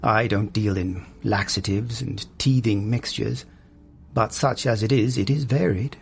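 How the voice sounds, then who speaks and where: A man's voice: on the low side, gravelly, faint music behind. A man voicing a courteous, sly old male potion seller in a read-aloud story. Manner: courteous and deliberate.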